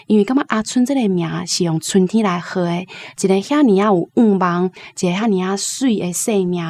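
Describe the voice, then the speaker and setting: A woman from Taiwan speaks as a radio guest, earnest and emphatic about a novel. Her voice is mid-pitched and steady. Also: vocal fry. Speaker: guest reader. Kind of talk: spoken literary commentary.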